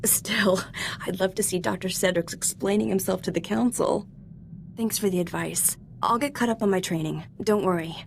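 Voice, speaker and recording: A woman's voice: medium pitch, moderate pace, gravelly, a little hiss or hum, natural voice. An actress playing a modest, eager young female Jedi apprentice in a video game, earnest and a little hesitant in a conversational way. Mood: friendly, sheepish. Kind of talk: scripted video game voice acting.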